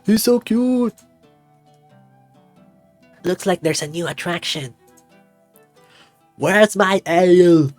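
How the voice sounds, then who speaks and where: A man voicing nosy, excitable tavern patrons in a visual novel, with drawn-out exclamations. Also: high-pitched, faint music behind, pitched above the performer's own voice. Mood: nosy, eager.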